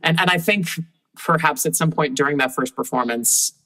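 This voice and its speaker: A woman with a deep voice, a guest telling lively anecdotes in casual conversation and laughing often. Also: normal speed. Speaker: guest puppeteer.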